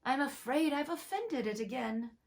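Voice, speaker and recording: A woman's voice: high-pitched, lively intonation, normal speed, creaky, a little mellow, pitched above the performer's own voice. A woman voicing a polite, curious young girl who chatters earnestly. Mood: chattering.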